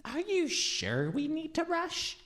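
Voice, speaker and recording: A woman's voice: high-pitched, wildly swooping pitch, slow, a bit bright, heavily acted. A woman playing a timid, fretful young boy in a radio play. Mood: hesitant.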